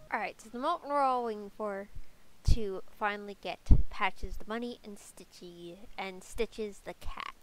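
A woman's voice: mid-range voice, fairly bright tone, low-quality recording. A young woman with a congested, stuffy voice, chatting casually through a game guide with lots of fillers. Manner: chatty, many fillers, upbeat. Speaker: game video creator. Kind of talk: casual gameplay guide narration.

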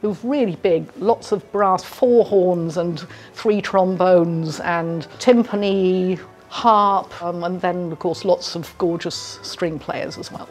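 Slightly low-voiced middle-aged woman, interviewed. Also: takes it slowly, a little mellow. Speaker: musician who organises an orchestra. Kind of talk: conversational on-camera interview. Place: indoor room with brick walls, bar behind. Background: background music.

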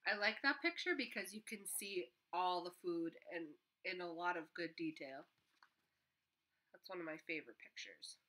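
A woman's voice: on the low side, normal speed, bright, forceful tone, poor audio. A young woman teacher reading a picture book aloud to children, chatting to them in between pages, filmed on a webcam in her living room. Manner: friendly, chatty, with asides to kids. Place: living room at home, on a couch. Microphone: webcam at desk distance.

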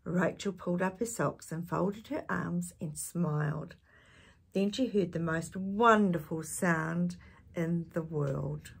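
Husky older woman reading a children's story. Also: on the low side, takes it slowly. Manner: animated, addressing listeners with tag questions. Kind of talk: expressive picture-book reading with chatty asides to young listeners. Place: small indoor space with curtain backdrop.

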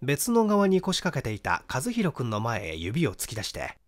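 A middle-aged man narrates literary mystery fiction. His medium-pitched voice moves up and down in a lively way, giving the reading a dramatic, expressive shape. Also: moderate pace. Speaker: audiobook narrator, stage actor.

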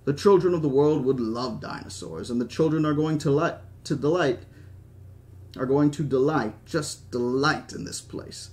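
A man's voice: on the high side, moderate pace, a little mellow, lightly acted. A man voicing a stubborn, grandiose old park founder in his seventies, with an attempted British accent and a genteel, speechifying manner. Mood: wistful. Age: 70s.

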